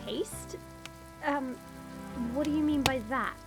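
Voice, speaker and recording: A woman playing a haughty, quick-tempered young dragon princess turned human, imperious and formal, in an audio drama. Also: high voice, over music. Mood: curious, matter-of-fact. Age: 20s.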